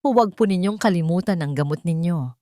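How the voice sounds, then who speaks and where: A scheming young woman in a storybook melodrama, put on by a female narrator. She sounds sweetly concerned and soothing, with lively swings of pitch. The sound is close and dry. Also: brisk, no room sound, lightly acted. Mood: falsely sweet.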